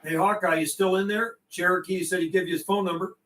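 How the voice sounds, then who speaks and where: High-voiced man on CB radio. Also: fairly even intonation, fast talker, fairly bright tone. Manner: repeats and relays messages, slangy. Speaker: CB radio operator and livestream host. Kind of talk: casual radio relay chat. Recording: roomy, distant microphone.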